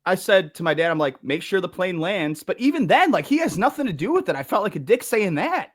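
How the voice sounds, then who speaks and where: A young man talking as a podcast guest in a relaxed, profane way. His voice swings widely in pitch and sits slightly high for a man. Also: brisk. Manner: animated, laid-back, swears casually. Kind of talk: casual chat.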